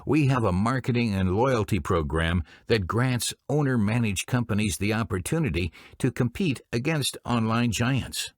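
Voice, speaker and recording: A man presenting business training in scripted instructional narration, speaking in a formal, deliberate way. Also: mid-range voice, dry recording, studio quality.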